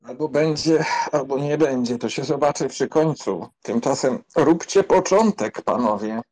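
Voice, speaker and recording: A man's voice: medium pitch, measured pace, a little mellow, close to an everyday voice. A man playing a cocky, sardonic young count in an audiobook drama, with an ironic, theatrical delivery. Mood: wry. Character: cocky, sardonic young count and duelist, joking through pain.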